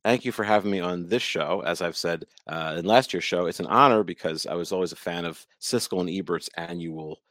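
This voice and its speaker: A man, an actor guesting on a podcast, chatting easily about film awards, his voice still deep and rough after covid. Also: a little fast, hardly any pauses, a bit bright, no room sound, clean studio sound.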